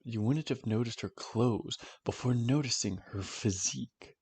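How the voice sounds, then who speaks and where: A man's voice: mid-range voice, a little slow, breathy edge, no echo. A man voicing an earnest young male defense lawyer, arguing emphatically. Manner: animated, emphatic argument. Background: game music.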